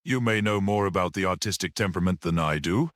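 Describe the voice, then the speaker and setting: A man's voice: sits low, fast talker, fairly bright tone, no echo, clean studio sound, pitched below the performer's own voice. A man voicing a practical, sceptical police detective in an audiobook, with a challenging, questioning manner. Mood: sceptical, reasoning. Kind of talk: dramatic audiobook character reading.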